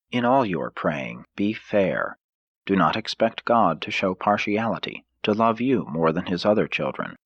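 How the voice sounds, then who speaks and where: A man reading a scripted audiobook in a formal, measured way. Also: low voice, a bit bright, slightly rough, no room sound. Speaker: audiobook narrator.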